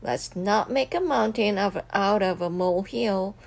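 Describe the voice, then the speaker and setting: A woman voicing a firm schoolteacher who speaks in a stern, hushed way. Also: medium pitch, a little slow, gravelly.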